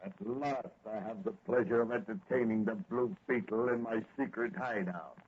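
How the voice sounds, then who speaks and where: An actor playing a smug masked villain, gloating with mock courtesy in 1940s radio style. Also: male voice, lowish voice, moderate pace, husky. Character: smug masked male villain in secret hideout. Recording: tinny old radio recording.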